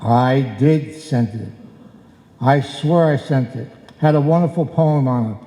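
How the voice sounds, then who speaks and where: A man's voice: mid-range voice, a little slow, warm tone, close to an everyday voice. A man playing a grumbling, henpecked, argumentative husband in an old-time radio comedy, performed live. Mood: defensive, exasperated. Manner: argumentative, exasperated, wisecracking. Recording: echoey live hall, roomy sound.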